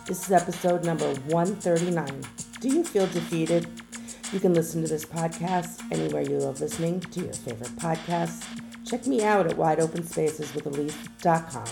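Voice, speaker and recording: A middle-aged woman hosting her own podcast, speaking in an unhurried, musing way with some hesitations. She has a naturally deep voice that is hoarse from a lingering cough. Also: music in the background. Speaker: podcast host. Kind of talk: reflective solo podcast talk.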